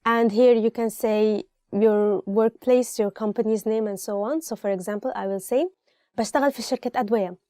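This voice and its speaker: A higher-pitched woman with an Egyptian accent, native in Arabic, hosts a language lesson. Her tone is on the mellow side and the sound is dry and close. She explains conversationally and gives simple examples. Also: fast, dry recording. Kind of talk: scripted language lesson, presented informally.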